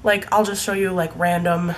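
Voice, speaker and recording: A woman's voice: on the low side, level tone, moderate pace, a little hiss or hum. A young woman with a stuffy, congested voice from a cold, chatting casually about her favorite products, full of likes and ums. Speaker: beauty vlogger. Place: home room in fading daylight.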